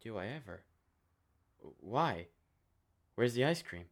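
A man playing an unhinged, childish, foul-mouthed vigilante killer in a dark comedic radio play, rambling theatrically between whispers and outbursts. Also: mid-range voice, very animated, takes it slowly. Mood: indignant.

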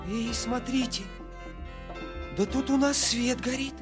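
An actor playing an excitable, good-natured dwarf leader in a cartoon, with a husky voice. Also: male voice, extremely high pitch, takes it slowly, music in the background. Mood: startled. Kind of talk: cartoon voice acting.

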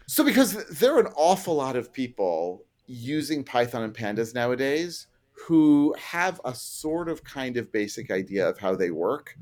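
A man's voice: mid-range voice, sing-song, normal speed, few pauses, a little mellow. A middle-aged man being interviewed as a guest, explaining his work as a trainer in a casual, self-deprecating way with illustrative asides.

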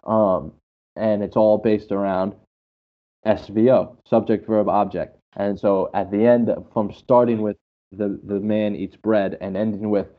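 A man's voice: lowish voice, flat delivery, measured pace. A man speaking casually and enthusiastically as an English teacher, explaining with anecdotes and repeating phrases for emphasis. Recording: dull, muffled lo-fi sound.

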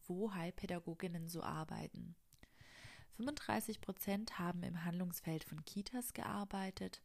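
A woman with a cold hosting an informative podcast, explaining things in an even monologue with the odd filler. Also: lowish voice, vocal fry. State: has a cold. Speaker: podcast host.

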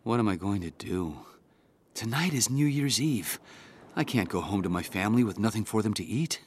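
An actor playing a quiet, humble poor fisherman in a children's folktale, hesitant and apologetic, with a husky voice. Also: lowish voice, expressive, normal speed, a little hiss or hum, natural voice. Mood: worried, downcast. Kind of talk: children's audio folktale drama.

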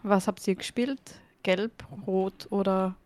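A woman's voice: medium pitch, steady tone, darkish tone. A woman who hosts a podcast and talks enthusiastically, with many fillers. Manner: enthusiastic, fast and full of fillers. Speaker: podcast host. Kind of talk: casual podcast chat.

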